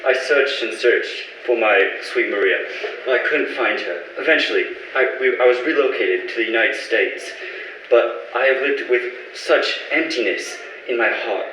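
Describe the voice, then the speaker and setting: A man playing a lonely, grieving husband separated from his wife by war, earnest and halting, in an amateur radio play. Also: medium pitch, bright, forceful tone, slight background noise, lightly acted. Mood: sorrowful. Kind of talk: amateur old-time radio play acting. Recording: echoey, distant mic, faint music.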